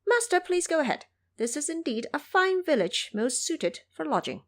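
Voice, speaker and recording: A woman voicing a cheeky, confident male monkey disciple in a brisk, playful way for an audio drama. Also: high voice, very animated, pitched above the performer's own voice. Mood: breezy.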